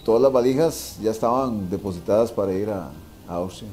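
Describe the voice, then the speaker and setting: Mellow older Costa Rican man telling stories. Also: on the low side, brisk, faint music behind. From Costa Rica. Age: 60s. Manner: repeats phrases for emphasis, tag questions. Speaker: interview guest, veteran sports journalist. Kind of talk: casual anecdote telling. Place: wine bar dining room, indoors.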